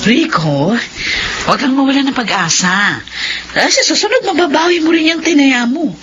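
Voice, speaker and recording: A woman's voice: high-pitched, animated, moderate pace, music in the background. An actress playing a breezy, worldly woman who encourages a gambling friend in a radio drama. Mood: breezy, consoling. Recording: dull, faintly noisy radio recording.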